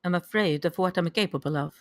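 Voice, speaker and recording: A woman's voice: lowish voice, brisk, dry recording, natural voice. Woman playing a proud noblewoman, dry sound. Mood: restrained. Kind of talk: live table read of a screenplay.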